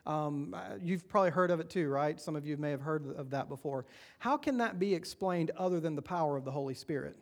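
Man, Southern American accent, pastor preaching in a church, high voice, chatty, asks the room questions. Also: brisk. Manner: conversational, asks the congregation questions. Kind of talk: sermon.

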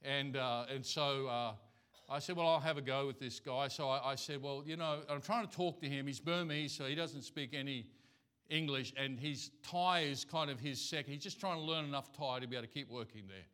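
An Australian man preaching a sermon as a missionary, spinning a personal story in a loose, conversational way. His voice is bright and forward, full of little fillers and restarts as he goes. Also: medium pitch, brisk, smooth.

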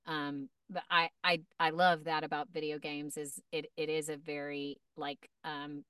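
A woman voice actor chatting as a podcast guest, telling stories in an animated way with many restarts and fillers. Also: sits low, measured pace, clean tone, no echo, studio quality, recorded from a distance. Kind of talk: casual podcast storytelling.

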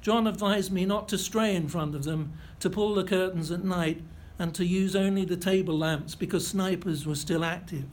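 An older man giving a lecture, reading out a first-hand account in a measured, precise way with a few self-corrections. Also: sits high, steady tone. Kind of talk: reading a prepared memoir-style lecture.

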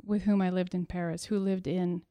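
Woman from quebec, thirties, warm mellow tone, smooth clear voice, chatty, dry close sound. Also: on the low side, little pitch movement, moderate pace, no room sound. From Quebec, Canada. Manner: chatty, laughs often, acts out quotes. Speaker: interview guest, artist. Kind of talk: casual conversation.

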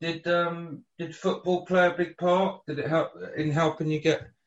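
A man's voice: fairly high pitch, level tone, normal speed, lo-fi sound. A man interviewing a guest in a casual chat, hesitant, with many ums and repeated words.

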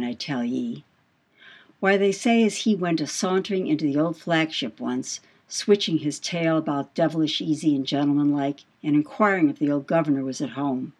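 A woman playing a brash, boastful male sailor in an audiobook, with salty, swaggering speech and emphatic questions. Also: low voice. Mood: sly, yarn-spinning. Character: brash, boastful male whaling mate telling devil yarns. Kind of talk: dramatised audiobook dialogue.

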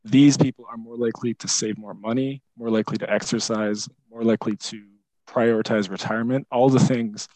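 A man co-hosting a podcast, explaining a research idea in a measured, lecture-like way. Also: medium pitch, fairly even intonation, a little mellow, no room sound, low-quality recording. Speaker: podcast co-host presenting research. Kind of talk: explanatory discussion.

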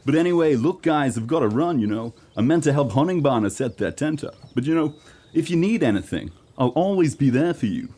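Man playing a easygoing rock star, husky, animated pitch, friendly rambling, radio comedy play. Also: a little fast. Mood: breezy, friendly. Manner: rambling, peppered with "you know". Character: chatty, easygoing male rock star, self-pleased and friendly.

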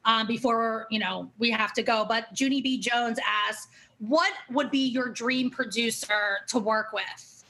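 A woman's voice: on the high side, a little slow, pushed and bright, poor audio. A woman hosting a casual interview, effusive and chatty, swearing freely and filling with "like" and "um". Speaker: interview host.

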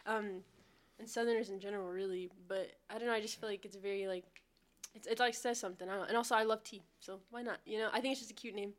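A woman's voice: medium pitch, fairly even intonation, fast talker. A young woman from Texas, a musician guest in a casual interview, rambling and upbeat with lots of "like". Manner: rambling, upbeat, heavy "like" fillers.

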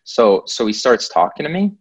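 A man's voice: mid-range voice, very animated, moderate pace, slightly rough, dull audio. A young man telling an anecdote in casual conversation, repeating himself for emphasis. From Sweden. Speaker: podcast participant telling an anecdote. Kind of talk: casual chat, storytelling.

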